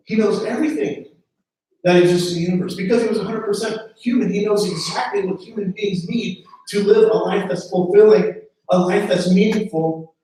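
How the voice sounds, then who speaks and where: High-voiced preacher in an echoey hall. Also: male voice, darkish tone, a little hiss or hum. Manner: earnest, repeats words when starting phrases. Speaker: pastor. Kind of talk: sermon. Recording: very echoey room from a distance.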